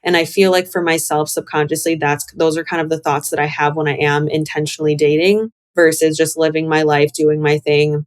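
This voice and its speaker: A young American woman talking candidly and casually about herself as a podcast guest, in a reflective way. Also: sits low. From Chicago, United States. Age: young adult. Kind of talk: casual conversational interview.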